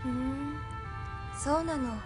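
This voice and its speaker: A woman's voice: sits high, steady tone, takes it slowly, music in the background. An actress playing a passionate, easily wounded young island girl in an audio drama, with an emotional manner. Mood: gently curious. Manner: emotional, reproachful. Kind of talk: anime-style audio drama acting.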